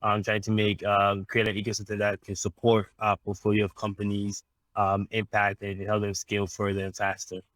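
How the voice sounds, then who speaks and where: A man from Ghana, an impact investor, answering interview questions in a measured, explanatory way with frequent ums and restarts. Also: lowish voice, completely flat delivery, a bit bright, no echo.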